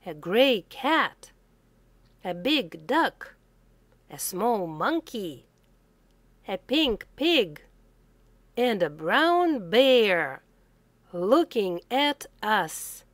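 Slow sing-song woman reading aloud. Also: medium pitch, big pitch swings, no room sound. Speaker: children's book reader. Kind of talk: slow read-aloud for young learners.

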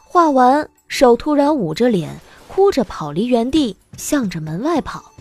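A woman giving expressive scripted narration of a romance novel as an audiobook narrator. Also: on the high side, measured pace, no room sound, soft background music.

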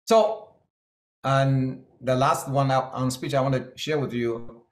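A man's voice: mid-range voice, steady tone, slow, echoey room. Man with a Chinese-Scottish accent. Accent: Chinese-Scottish English. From China. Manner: earnest, plain-spoken, with hesitant fillers. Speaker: keynote speaker. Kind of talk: conference talk with slides.

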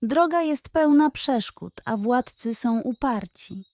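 An earnest, affectionate little doll girl from a children's storybook, acted out by a woman. Her voice sits fairly high and unhurried, with an eager, caring way of talking. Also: lively intonation, a little mellow, no room sound, clean studio sound. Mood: earnest, encouraging.

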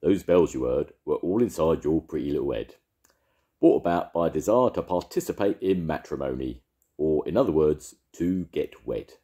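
A man's voice: a bass voice, animated, moderate pace, dark tone. A man voicing a bossy, self-confident male caterpillar in a storybook, declaring things pompously.

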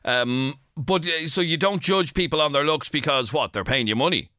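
A man's voice: a little high, normal speed, pushed and bright, no room sound, clean studio sound. An Irish man in middle age hosting a radio phone-in, asking probing questions with many restarts. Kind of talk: talk radio interview. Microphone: studio microphone.

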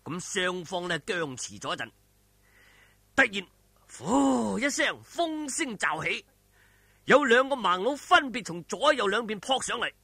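A man's voice: high-pitched, big pitch swings, a little slow, creaky, bright, forceful tone, breathy edge, no room sound. A man with a Hong Kong Cantonese accent telling a martial arts story in a dramatic, colloquial way, with exclamations and vocal sound effects. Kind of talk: animated oral storytelling of a martial arts novel.

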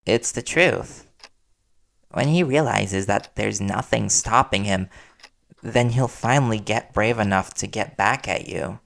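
A man playing a worried, devoted young reporter boyfriend in an intimate audio roleplay, speaking earnestly and soothingly with hesitant pauses. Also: lowish voice, vocal fry, a bit bright. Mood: earnest persuasion.

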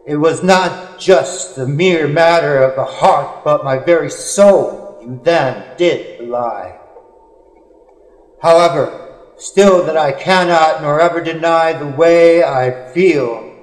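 A man reading poetry in a solemn, dramatic style, with earnest, measured phrasing and drawn-out pauses. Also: fairly high pitch. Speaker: poet. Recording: echoey, long hall-like reverb.

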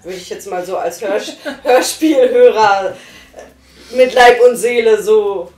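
A woman's voice: mid-range voice, slow, darkish tone, roomy sound, distant mic. A woman giving her opinion in a casual review chat, hesitant with many fillers. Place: small living room, on a sofa. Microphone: far camera.

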